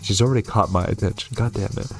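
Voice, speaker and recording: A man hosting a horror game playthrough, reading and commenting in an expressive, theatrical way. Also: lowish voice, fast, faint music behind. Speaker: gaming video host.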